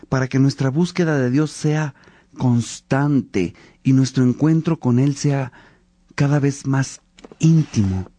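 A man playing a conscientious bishop and judge in a religious radio drama, sincere and deliberate. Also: mid-range voice, dark tone, no room sound, lightly acted. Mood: deliberate, assured. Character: Alipio, conscientious lawyer-bishop, Augustine's devoted friend.

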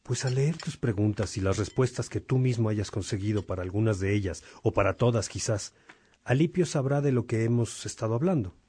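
A man playing an earnest, fatherly monk and mentor in a radio drama, speaking in a measured, formal way. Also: lowish voice, mellow, slightly rough. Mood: kindly, reflective.

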